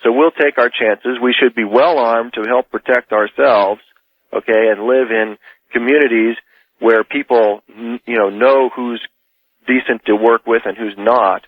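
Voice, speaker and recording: A man's voice: medium pitch, fairly even intonation, measured pace, no echo. A man arguing politics insistently as a caller on a radio show, in a heated debate style. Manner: insistent, argumentative. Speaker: radio call-in guest. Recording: dull lo-fi radio call.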